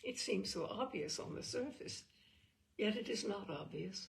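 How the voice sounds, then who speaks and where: Creaky-voiced woman reading fiction expressively. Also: mid-range voice, lively intonation, measured pace. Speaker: reader of short fiction. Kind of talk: expressive literary narration read aloud.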